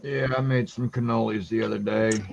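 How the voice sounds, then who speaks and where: A gravelly-voiced man in his mid-forties chats agreeably, often chiming in with short affirmations. The audio is dull and lo-fi, with faint hiss behind it. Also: lowish voice, fairly even intonation, fairly quick. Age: 40s. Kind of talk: casual chat.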